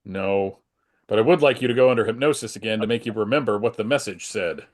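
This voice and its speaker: A man's voice: fairly low pitch, fast, close to an everyday voice. A man playing an earnest, reassuring superhero who explains things declaratively in a comic-book table read.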